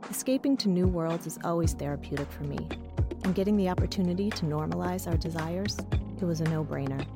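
A woman's voice: fairly low pitch, a little fast, music in the background. A woman reading a scripted podcast sponsor ad as one of the show's writers. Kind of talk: scripted ad read.

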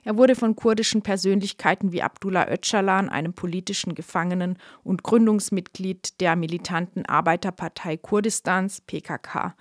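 A woman reading a scripted news report in an even, informative way. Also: fairly low pitch, no room sound, studio quality. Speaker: reporter narrator. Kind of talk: scripted narration.

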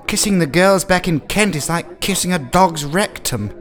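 A man's voice: high voice, expressive, moderate pace, pitched above the performer's own voice. A man voicing an awkward, earnest young lord. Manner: self-pitying, earnest.

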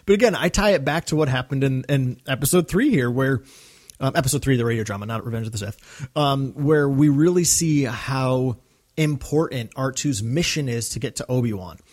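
A man's voice: medium pitch, brisk, a little mellow. A man co-hosting a podcast in casual, enthusiastic discussion. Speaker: podcast co-host. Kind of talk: casual discussion of a radio drama.

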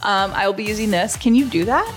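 A woman's voice: mid-range voice, expressive, fast, over loud music. A woman chatting casually as a podcast co-host, talkative and full of questions. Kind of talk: casual chat.

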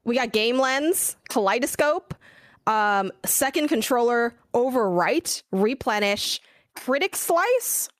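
A woman's voice: a little high, lively intonation, takes it slowly, no echo. Young female co-host fighting a cold, chatty and self-mocking, dry mic. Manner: rambling, self-deprecating, lists ideas. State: getting sick, voice a bit rough. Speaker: podcast co-host. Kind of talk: casual chat.

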